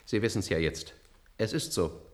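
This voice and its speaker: An actor playing a loyal, stubborn robot in a German science-fiction radio drama, earnest and deferential. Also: male voice, on the low side, expressive, moderate pace, natural voice. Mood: calm, reassuring. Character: loyal, stubborn male robot protective of his robot sons.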